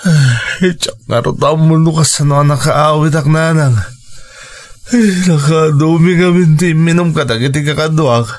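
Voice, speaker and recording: A man's voice: a little high, natural voice. A man playing a stubborn, hard-drinking father in a radio drama, bitter and defensive, grumbling as he talks. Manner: slow, grumbling, groaning drunkard.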